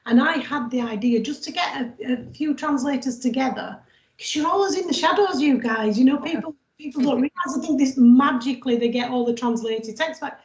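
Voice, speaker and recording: A woman's voice: sits high, a little fast. A woman hosting a casual video call chat with a group of guests. She talks in a chatty way, with many restarts. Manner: chatty, warm-hearted fillers and restarts. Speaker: host. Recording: echoey room over lo-fi call audio.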